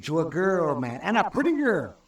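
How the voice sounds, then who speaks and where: Wry, folksy frontier town doctor. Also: male voice, on the high side, sing-song, moderate pace. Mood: wry amusement. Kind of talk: old-time radio drama acting. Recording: roomy old radio recording, distant from mic.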